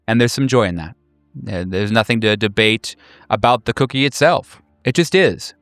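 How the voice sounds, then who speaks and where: A man improvising narration as a game master, in a halting, reflective and deliberate way. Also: fairly low pitch, a bit bright, dry recording, studio quality. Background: faint music.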